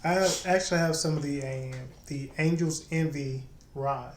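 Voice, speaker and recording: A man's voice: a little high, moderate pace. A man chatting casually as co-host of a drinks review show. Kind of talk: casual chat. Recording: echoey room with some background noise.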